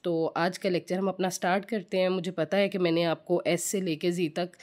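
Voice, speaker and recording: A woman with a slightly hoarse throat, giving a chatty home tutorial for mothers that mixes in English words. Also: fairly low pitch, steady tone, brisk, darkish tone. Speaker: home teaching channel host. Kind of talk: casual home tutorial talk.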